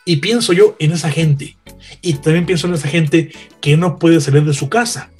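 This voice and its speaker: A man with a Mexican accent giving earnest, measured, eloquently phrased commentary as a broadcaster on a panel. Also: on the high side, darkish tone, husky, faint music behind. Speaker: radio broadcaster, panel guest.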